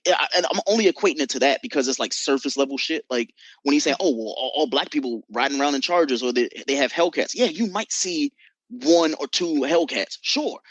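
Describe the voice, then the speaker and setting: A woman calling in with a blunt, profane, animated rant. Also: low voice, breathy edge, no room sound, low-quality recording. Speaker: caller. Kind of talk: casual opinion rant.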